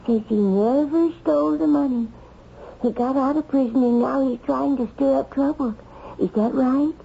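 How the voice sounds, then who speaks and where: An actress playing a forgetful, chatty old widowed mother in a radio drama, rambling and repeating herself in a creaky voice. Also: high voice, normal speed. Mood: chatty, fretful. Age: older. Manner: rambling, repetitive, scattered. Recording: dull, noisy old radio recording.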